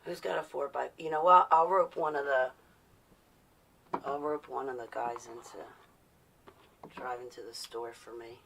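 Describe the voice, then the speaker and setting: A woman's voice: extremely low pitch, fairly even intonation, normal speed, vocal fry, pauses often, fairly bright tone, a little hiss or hum. A woman in her forties with an American accent talking casually and bluntly, rambling in a defiant way with rhetorical questions. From New Jersey, United States. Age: 40s. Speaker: vlogger. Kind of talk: casual rambling personal talk.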